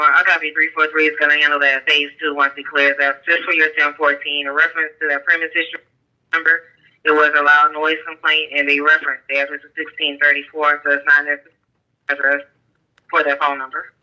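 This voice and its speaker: A man's voice: on the high side, level tone, brisk, bright, forceful tone, rough recording. A man working as an emergency dispatcher, speaking procedurally over a police scanner radio and reading numbers out digit by digit.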